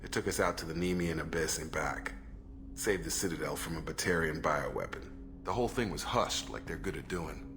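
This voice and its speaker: An actor playing a steady, proud ex-military crew officer in a video game, plain-spoken and matter-of-fact. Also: male voice, very deep voice, brisk, background noise. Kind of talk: video game character acting.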